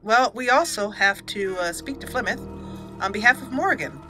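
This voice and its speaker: A woman's voice: medium pitch, animated, moderate pace, pushed and bright. A woman hosting a gaming channel, chatting casually and thinking aloud as she plays. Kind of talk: casual let's play commentary. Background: game music.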